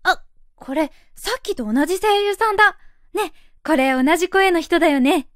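A woman's voice: very high voice, animated, normal speed, no echo. A woman playing a blunt young shrine maiden girl who makes dry retorts in a comic anime voice drama. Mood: dry curiosity. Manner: dry, exasperated straight-man retorts.

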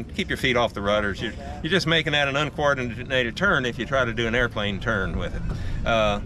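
An older man at an air show patiently explaining gyroplane flight in detail, with frequent fillers, recorded outdoors on an airfield. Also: mid-range voice. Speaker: gyroplane dealer. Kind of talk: explaining at an expo. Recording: outdoor noise in the background.

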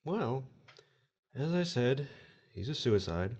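A man's voice: mid-range voice, sing-song, slow, a little mellow, full character voice. A man playing a courteous, wry, bureaucratic collector of souls in an audio drama, with polite folksy officialese. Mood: polite, matter-of-fact.

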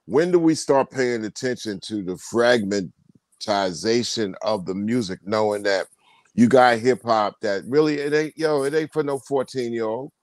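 A man co-hosting a casual video call chat, talking in a rambling, animated way with many restarts. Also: mid-range voice, measured pace, no room sound. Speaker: tour promoter and co-host.

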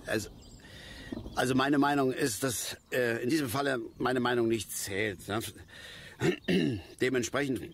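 An actor playing a gruff, stubborn middle-aged father and angler in a radio drama, talking colloquially and haltingly. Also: lowish voice. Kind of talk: German radio drama acting. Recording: noisy outdoor ambience behind the voice.

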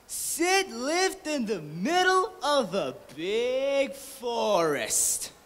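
A teenage boy from Thailand narrates a children's story in a measured, expressive way. His pitch moves a lot and his pace is very slow. A long reverb from the hall trails each phrase. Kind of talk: readers' theatre storybook reading. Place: large indoor hall stage. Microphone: stand mic.

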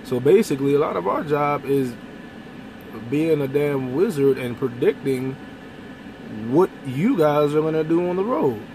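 A man's voice: a little high, unhurried. A young man, a truck driver, talking bluntly and with animation to a phone camera from his truck's sleeper cab. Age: young adult. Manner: blunt, animated, with mild cursing. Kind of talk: casual vlog monologue. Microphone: phone camera close up. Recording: road and air-conditioning noise in truck cab. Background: vehicle and air-conditioning hum.

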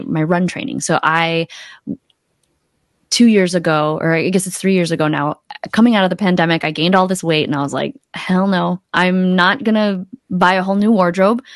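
A woman's voice: lowish voice, dry recording, clean studio sound. A middle-aged woman talking casually as a podcast guest, telling her experiences and voicing her own thoughts. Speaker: podcast guest, accountability coach. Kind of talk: casual conversational interview. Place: small home room, indoors, video call. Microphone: close desktop podcast microphone.

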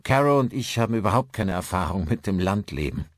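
A man's voice: on the low side, lively intonation, a little fast, no room sound, clean studio sound, natural voice. A man playing a polite, inquisitive newcomer to a village in an audiobook, speaking casually.

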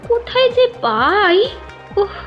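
Cartoon mother turtle: high, very animated, fretful and protective of her child, music underneath. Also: slow pace, lo-fi sound, heavily acted. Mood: fretful searching.

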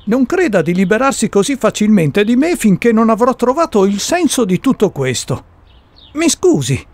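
A man's voice: sits high, big pitch swings, normal speed, a little mellow, no echo, heavily acted. An actor in a radio drama playing a persistent, puzzled man who questions formally and methodically in search of sense. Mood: determined. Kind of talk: Italian radio drama acting.

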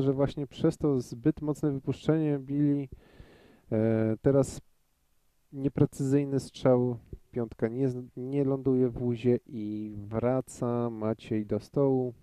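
Slow, dark-voiced male pool commentator. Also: medium pitch, steady tone, no room sound. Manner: measured, thinks aloud with many hesitations. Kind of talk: live sports commentary.

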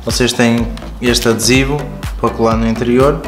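A man's voice: medium pitch, level tone, moderate pace. European Portuguese man reviewing, roomy echo. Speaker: product reviewer. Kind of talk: casual product review and installation walkthrough. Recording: roomy echo with music behind. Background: background music.

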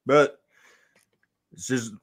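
A man chatting casually and bluntly, swearing and often repeating his words. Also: very slow. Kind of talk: casual chat.

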